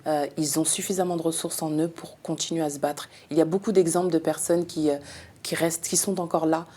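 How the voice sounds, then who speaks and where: Low, mellow-voiced businesswoman. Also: fairly quick. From Democratic Republic of the Congo. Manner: calm, earnest, with frequent hesitations. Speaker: guest, businesswoman. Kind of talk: conversational interview.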